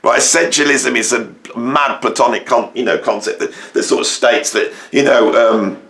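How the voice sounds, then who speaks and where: Middle-aged English musician-host, gravelly and croaky, mid-pitched, emphatic rambling opinion piece. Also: male voice, moderate pace, fairly bright tone. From England, near Birmingham. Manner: rambling, emphatic, many fillers and restarts. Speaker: musician and educator, channel host. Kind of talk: opinionated monologue to camera.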